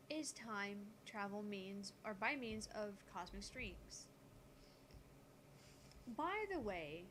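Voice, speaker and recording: A woman voicing a calm, gutsy teenage genius girl who lectures on physics in a visual novel. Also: on the high side, a little hiss or hum, heavily acted, rough recording. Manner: explanatory, lecturing.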